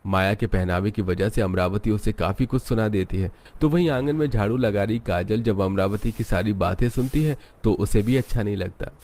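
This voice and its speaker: A man's voice: lowish voice, normal speed, few pauses, warm tone. A man narrating a scripted story as its storyteller. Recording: music bed under voice. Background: background music.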